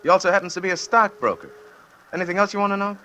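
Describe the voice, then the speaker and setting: An actor playing a surly, wisecracking chauffeur, curt and sarcastic, in 1940s radio drama style. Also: male voice, high-pitched, very fast, pushed and bright. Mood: surly, impatient. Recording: dull, noisy old radio broadcast.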